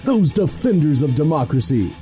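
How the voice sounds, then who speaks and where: A man's voice: fairly high pitch, big pitch swings, moderate pace, mellow. A man playing a grandiose, melodramatic announcer who narrates a parody old-time radio adventure serial. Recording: music behind the voice.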